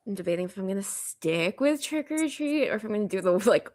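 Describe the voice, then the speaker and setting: A woman's voice: medium pitch, lively intonation, fast, darkish tone. A woman chatting informally as a guest and contestant on a podcast game. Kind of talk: casual chat.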